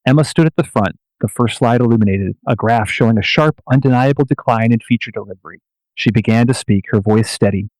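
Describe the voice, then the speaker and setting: A man's voice: medium pitch, a little mellow, no room sound, rough recording. Portentous male narrator, dry sound. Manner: measured, portentous storytelling. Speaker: radio play narrator. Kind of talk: scripted dramatic narration.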